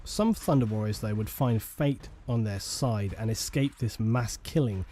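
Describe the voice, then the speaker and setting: A man giving scripted documentary narration on lore in a measured, solemn manner. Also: fairly low pitch, dark tone. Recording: faint music bed behind.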